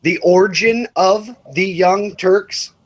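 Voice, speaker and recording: A man's voice: high voice, takes it slowly, muffled recording. A man hosting a casual livestream chat over his phone, laughing often and repeating words as he starts a thought. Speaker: livestream host and dad. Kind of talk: casual group video chat.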